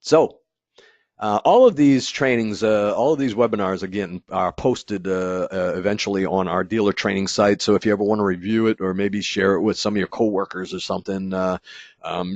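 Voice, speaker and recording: A low-voiced man leads a training webinar for installers in a loose, rambling way, full of "uh" and restarts. His voice comes across close and dry, with a slightly dull, muffled tone. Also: steady tone, moderate pace, dry recording. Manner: casual, rambling, many fillers and restarts; acts out an installer's inner thoughts. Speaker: company product trainer.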